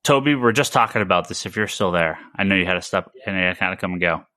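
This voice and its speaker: Male American podcast host, lowish bright voice, stammering repeats, emphatic opinions in casual talk. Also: animated, rapid-fire, no echo, clean studio sound. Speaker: comic shop retailer.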